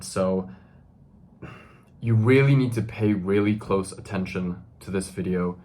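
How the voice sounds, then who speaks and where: A man, earnestly addressing the camera in a self-improvement monologue full of "right?" tags and fillers. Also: low voice. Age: 20s. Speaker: self-improvement video host.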